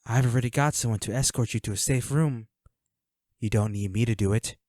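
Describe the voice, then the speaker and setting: A man's voice: mid-range voice, fairly quick, darkish tone, no room sound, studio quality, lightly acted. A man playing a flirty, smug villain henchman in a roleplay audio drama, taunting a captive in a teasing, coolly controlling manner. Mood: smug, bargaining.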